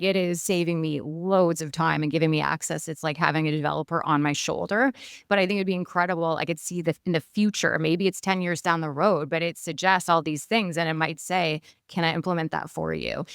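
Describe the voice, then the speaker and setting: A woman's voice: on the low side, fairly bright tone, smooth, dry recording, studio quality. A woman panelist giving her opinion and explaining it in a conversational way. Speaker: expert panelist.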